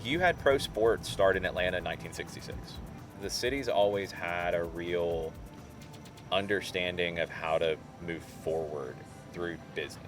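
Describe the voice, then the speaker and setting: A man's voice: low voice, normal speed, over music. A man in an informal interview, explaining local soccer history in a conversational way.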